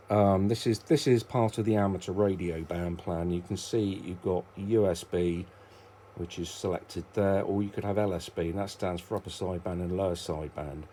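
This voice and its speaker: A man's voice: low voice, warm tone, a little hiss or hum. A middle-aged British man giving a casual, unscripted hobby demonstration, rambling and hesitant as he interrupts himself. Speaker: hobbyist video presenter.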